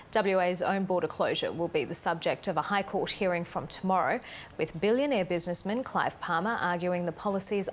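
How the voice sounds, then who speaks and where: A woman's voice: lowish voice, slight background noise. An Australian woman presenting the news, reading scripted introductions to stories.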